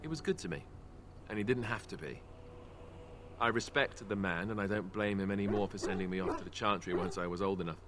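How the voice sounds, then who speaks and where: A man's voice: low-pitched, brisk, noisy background, lightly acted. An actor playing a wry young male knight who jokes and banters in a video game. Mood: earnest. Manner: joking, self-deprecating banter.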